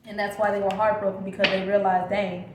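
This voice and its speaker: A woman's voice: mid-range voice, level tone, quick pace. A woman reads tarot cards for her audience in a loose, conversational way, repeating encouragements and checking in often. Her voice sits in an ordinary middle range with a faint scratchiness from an oncoming cold. The recording carries noticeable room echo and a faint hiss underneath. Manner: chatty, repeats reassurances, frequent "okay?" check-ins. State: slightly scratchy from a coming cold. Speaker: tarot reader. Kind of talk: casual tarot reading to camera.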